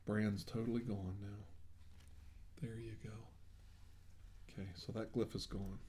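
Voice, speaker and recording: Male ASMR clinician role-play: very mellow, soft-spoken, gently checking in with the patient, slight hiss behind the voice. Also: on the low side, little pitch movement. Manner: soothing, unhurried, conversational, checking in with the listener.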